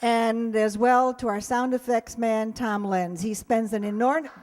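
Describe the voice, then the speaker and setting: A woman's voice: fairly high pitch, fairly even intonation, moderate pace, a little mellow, a faint crowd, a little hiss or hum. An American woman, director of a theater group, giving a chatty thank-you speech directly to her audience and cast. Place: live stage performance in a hall. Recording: echoey hall with audience sounds.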